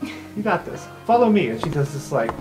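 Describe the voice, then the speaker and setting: Casting: deep-voiced woman gamer, lively intonation, casual improvised fantasy roleplay. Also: a little fast, music in the background, low-quality recording. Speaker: player on a roleplay podcast.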